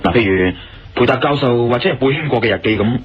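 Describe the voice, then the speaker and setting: A man's voice: medium pitch, expressive, quick pace, breathy edge. A man with a Hong Kong Cantonese accent playing a persistent, probing investigator in a radio drama. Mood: pondering. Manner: probing, persuasive. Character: male investigator, persistent, reasoning, questioning a suspect. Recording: lo-fi radio sound with music behind.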